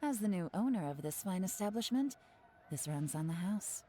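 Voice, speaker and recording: A woman's voice: lowish voice, animated, normal speed, mellow, slight background noise, natural voice. A woman voicing a composed, wry female secret agent in a video game. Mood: cool.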